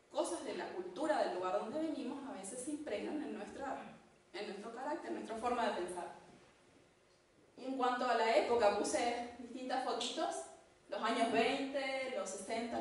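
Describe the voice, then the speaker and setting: A woman from Argentina with an Argentine accent, giving a church teaching talk in an unhurried way, with fillers and restarts. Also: a little high. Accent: Argentine Spanish. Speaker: church speaker. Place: church hall. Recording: echoey hall, distant mic, faint noise.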